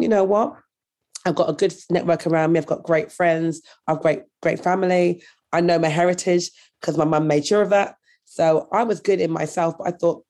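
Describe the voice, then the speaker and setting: A woman from Birmingham with a croaky voice from a cold, telling her story earnestly as an interview guest. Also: sits low, mellow. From Birmingham, England. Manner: earnest, thoughtful, with some repeated words. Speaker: guest, anti-racism consultant.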